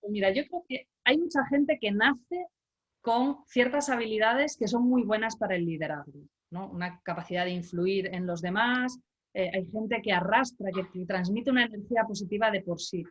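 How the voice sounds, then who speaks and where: A middle-aged woman from Galicia speaks as a leadership coach, her voice sitting at an ordinary pitch. She explains things in a measured, patient way, now and then restarting a phrase. She is heard over a video call. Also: dull audio. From Galicia, Spain. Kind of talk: interview answers.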